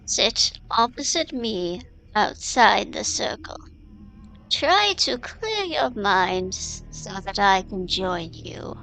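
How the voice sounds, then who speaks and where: A woman acting as a gentle, ancient mystic guide in a fantasy audio drama, solemn and coaxing in a hushed, deliberate delivery. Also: medium pitch, sing-song, creaky, faint music behind, full character voice, low-quality recording. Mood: gentle, mystical.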